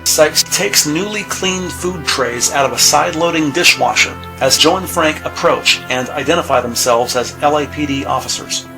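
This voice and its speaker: A man reading scripted audio drama narration in a measured, descriptive storytelling manner. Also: a little high, creaky, low-quality recording. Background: music.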